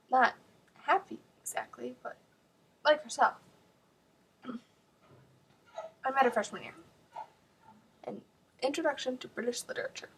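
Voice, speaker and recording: A woman performing a dramatic stage monologue as a bewildered young student mourning her friend, halting and searching with heavy pauses. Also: high-pitched, a bit bright, husky, heavily acted. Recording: distant mic.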